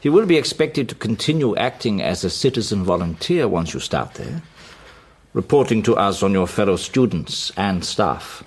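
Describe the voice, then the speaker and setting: A low-voiced, husky East German security officer, stern and officious. His formal delivery swings widely in pitch, as heard in radio drama. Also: sing-song, normal speed.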